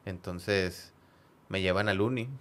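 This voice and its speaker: Man, northern Mexican accent, lowish voice, casual anecdotal chat, halting, repetitive phrasing, podcast guest. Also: fairly even intonation, a bit bright. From Monterrey area, northern Mexico. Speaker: podcast guest, football fan.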